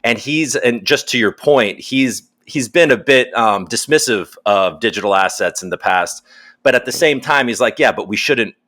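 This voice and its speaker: A man's voice: mid-range voice, moderate pace, sharp tone. Upbeat, chatty male podcast host. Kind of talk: casual interview chat. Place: home in Los Angeles.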